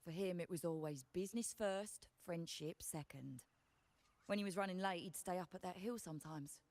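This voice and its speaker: A woman plays a practical, plain-spoken female trader from a video game. Her voice sits on the low side and is recorded very dry. She talks informally, with a sardonic edge. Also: moderate pace, a little mellow, no echo, lightly acted. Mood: defensive.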